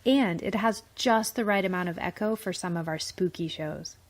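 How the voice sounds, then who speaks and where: A woman's voice: fairly low pitch, normal speed. A woman cast member of a radio show in friendly scripted chat.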